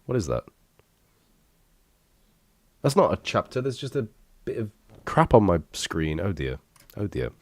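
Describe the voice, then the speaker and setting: A man's voice: fairly low pitch, sing-song, unhurried, long pauses, no room sound. A young man with a stuffy, bunged-up voice, rambling and joking at his own expense while reacting to his old videos. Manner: rambling, self-deprecating, swears casually. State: congested, bunged up from illness. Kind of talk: casual reaction commentary.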